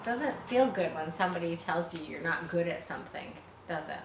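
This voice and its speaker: A woman reading a children's story aloud expressively, pausing with chatty explanations for young listeners. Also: lowish voice, lively intonation. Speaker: storybook reader. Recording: roomy, distant mic, faint background noise.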